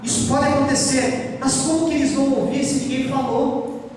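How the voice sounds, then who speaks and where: A high-voiced man preaching with passion in a church hall, recorded from far off, with a long, booming echo. He swings between rising exhortation and quiet asides, and acts out the biblical figures as he tells their words. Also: steady tone, normal speed, a little hiss or hum. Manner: impassioned, rising and falling, acts out biblical speech. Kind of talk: sermon.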